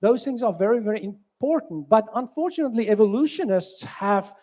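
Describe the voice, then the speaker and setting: A man in his sixties from South Africa with a South African accent, a physician giving a halting health talk at a church, with many fillers. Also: high-pitched, expressive, warm tone. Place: church hall.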